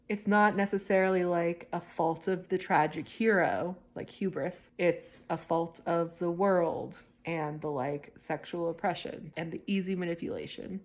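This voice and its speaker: Expressive woman chatting in a casual vlog. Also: on the low side. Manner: opinionated, expressive, dramatizes points. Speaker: reading vlog host. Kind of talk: casual first-person vlog chat. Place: inside a car on a road trip.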